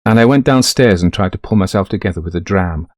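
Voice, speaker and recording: An actor playing a middle-aged, greying widower in a ghost story, confiding an eerie haunting to a friend in genteel, old-fashioned phrasing. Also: male voice, deep voice, fast talker, dry recording, studio quality, natural voice. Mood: uneasy, wry. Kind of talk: dramatised first-person ghost story narration.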